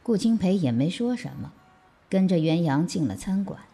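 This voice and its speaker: A woman's voice: lowish voice, lively intonation, normal speed, mellow, breathy edge. A woman reading scripted narration for an audio drama.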